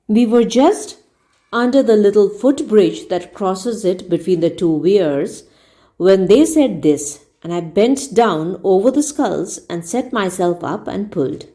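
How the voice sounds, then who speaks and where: Woman, mellow soft-toned voice, mid pitch, audiobook narration, measured, expressive emphasis on key words. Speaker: storyteller reading a book aloud. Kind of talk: scripted audiobook narration of a comic novel.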